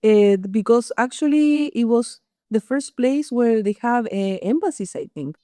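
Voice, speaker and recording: A woman's voice: on the high side, expressive, a little slow, warm tone, no room sound, clean studio sound. A middle-aged woman from Chile with a Chilean accent, a native Spanish speaker, chatting casually as a podcast co-host. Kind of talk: casual conversational podcast chat.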